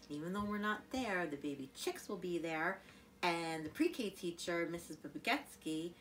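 Bright-voiced preschool teacher woman. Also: fairly low pitch, a little hiss or hum. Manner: sing-song, animated storybook reading with animal sounds; gentle, warm teacher talk to young children. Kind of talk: reading a picture book to children. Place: living room, seated on a couch. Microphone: camera in front.

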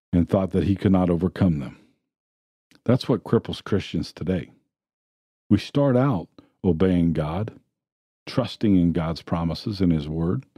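A man with a low, very dark and mellow voice delivers a sermon, walking his listeners through scripture stories in an unhurried, plain manner. The sound is dry, with little room around it. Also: slightly rough, dry recording. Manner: plain, steady preaching with storytelling. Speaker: preacher. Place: church sanctuary.

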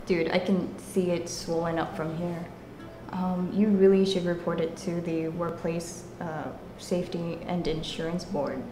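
A woman's voice: lowish voice, little pitch movement, unhurried, over music, natural voice. A young woman playing a concerned coworker urging a friend in an amateur drama. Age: teenager or young adult. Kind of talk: amateur scripted drama dialogue. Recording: roomy echo, clear background noise.

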